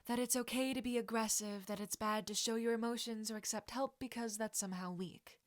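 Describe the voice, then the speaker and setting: A woman voicing a confident teenage witch girl in a story, bossy but caring and quick-witted. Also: a little high, steady tone, close to an everyday voice. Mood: matter-of-fact. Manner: firm, casual, non-judgmental.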